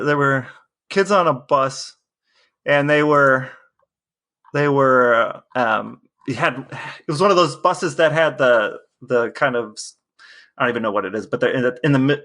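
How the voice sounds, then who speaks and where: A man co-hosting a podcast in casual banter, emphatic but halting, often repeating himself. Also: mid-range voice, sharp tone. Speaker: podcast co-host.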